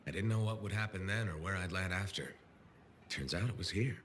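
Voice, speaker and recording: An actor playing a wry, steady freelance pilot hero in a video game, talking in an understated, dry way. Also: male voice, low voice, a little fast, slight background noise. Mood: earnest, wry. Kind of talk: conversational video game voice acting.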